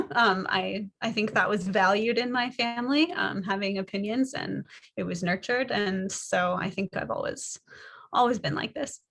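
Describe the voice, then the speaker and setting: A woman's voice: mid-range voice, normal speed, creaky, fairly bright tone. A thirty-year-old woman from Kansas City answering interview questions over a video call, earnest and chatty with frequent fillers. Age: 30s. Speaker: author and baker. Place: home living room.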